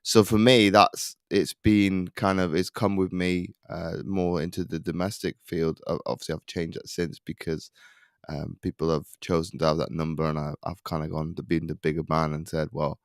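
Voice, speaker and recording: A man's voice: low-pitched, flat delivery, normal speed, clear, resonant tone, no room sound, clean studio sound. A man hosting a podcast, asking questions in a rambling, conversational way that drifts into tangents. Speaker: podcast host. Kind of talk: conversational interviewing.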